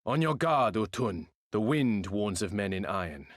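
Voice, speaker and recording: A man's voice: fairly low pitch, animated, normal speed, no room sound, clean studio sound, natural voice. An actor playing a proud warrior khan in a video game, grave and formal in his speech. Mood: wary. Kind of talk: solemn video game acting.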